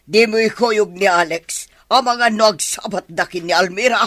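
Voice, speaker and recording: A woman's voice: medium pitch, normal speed, fairly bright tone, dry recording, clean studio sound, close to an everyday voice. An actress with an Ilocano accent playing a mother who pleads with and scolds her grown son in a radio drama. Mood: worried.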